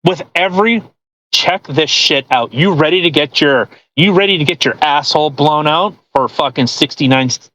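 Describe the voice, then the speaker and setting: A man's voice: a little high, moderate pace, sharp tone, poor audio. A man co-hosting a podcast, doing a mocking dramatic reading with sarcastic, profane heckling and banter. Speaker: podcast co-host.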